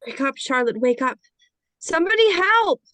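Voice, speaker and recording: A woman's voice: very high voice, very animated, normal speed, pushed and bright, no echo, heavily acted. A woman playing a loyal, feisty passenger on a hijacked jet, speaking emotionally in a script read. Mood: desperate. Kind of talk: screenplay table read acting.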